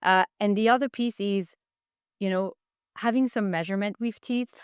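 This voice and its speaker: A woman's voice: mid-range voice, steady tone, normal speed, clean tone, no room sound, studio quality. A woman speaking as a podcast guest, giving enthusiastic, explanatory answers in a conversational interview style. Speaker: podcast guest, marketing executive.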